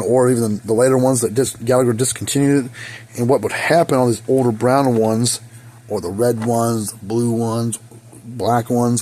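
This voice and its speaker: Hoarse man talking through a repair. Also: mid-range voice, warm tone. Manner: rambling, thinking aloud while working with his hands. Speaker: repair technician. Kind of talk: casual workshop repair talk. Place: repair workshop. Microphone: phone camera.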